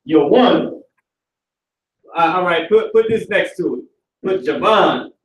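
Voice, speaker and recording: A man's voice: high voice, animated, slow pace, a little hiss or hum. A young man leading a live class, talking in loose, profane street speech and pressing his students with questions. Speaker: class teacher. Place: classroom with stage and banners, indoors. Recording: echoey room, voice from a distance.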